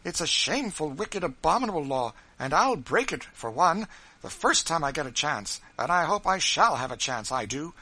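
A man reading aloud, voicing a timid, gentle wife roused to righteous indignation, pleading and insistent. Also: medium pitch, expressive, normal speed, dry recording, voice pushed up, low-quality recording. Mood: indignant. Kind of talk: audiobook character reading.

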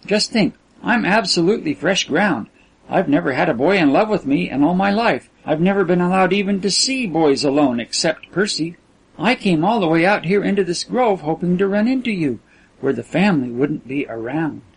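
Storybook role: prim, vain teenage rich girl played by a male narrator, raised pitch, slightly husky, artless and earnest. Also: lively intonation, moderate pace, exaggerated voice. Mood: coyly intimate. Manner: earnest, girlish, artless chatter.

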